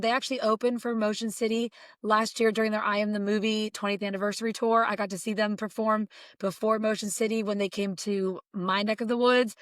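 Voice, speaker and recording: A woman co-hosting a podcast, hoarse today, chatting excitedly about bands she loves. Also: mid-range voice, no room sound, studio quality. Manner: chatty, excited fan storytelling. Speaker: podcast co-host. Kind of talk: casual podcast chat.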